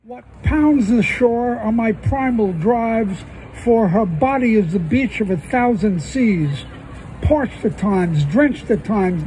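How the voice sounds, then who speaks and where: A man reading his free-verse poems in a steady, earnest way on an outdoor stage. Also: very high-pitched, a little hiss or hum, low-quality recording. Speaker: poet. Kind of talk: poetry reading. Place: outdoor stage under trees at a festival. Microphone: stand mic on stage, filmed by phone.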